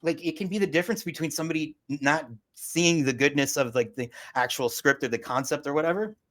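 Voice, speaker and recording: A woman's voice: sits low, fast talker, fairly bright tone. A woman in her forties hosting a casual interview, rambling and chatty with lots of fillers. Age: 40s. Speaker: host.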